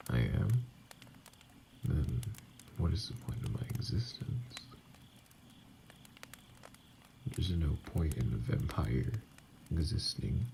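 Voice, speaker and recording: A man playing a bitter, wounded vampire outcast in an ASMR roleplay, speaking in a breathy, halting, brooding way that often drops to a whisper. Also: extremely low pitch, mellow, a little hiss or hum, full character voice. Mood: anguished pride. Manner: slow, halting, brooding delivery. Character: wounded, bitter male vampire outcast, self-loathing and lonely.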